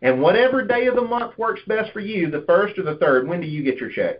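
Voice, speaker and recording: A man's voice: sits high, sing-song, brisk. A man coaching sales in a conversational, persuasive and explanatory way over a conference call line. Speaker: insurance sales manager and trainer. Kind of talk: conversational sales coaching with role-play pitches. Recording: dull, lo-fi conference call audio.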